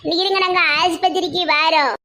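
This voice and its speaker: A haughty bride's mother throws blunt taunts at the other family, as a performer voices her. The voice is extremely high and bright. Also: fairly even intonation, a little fast, exaggerated voice. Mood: taunting. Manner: taunting, blunt rural speech. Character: proud, sharp-tongued bride's mother.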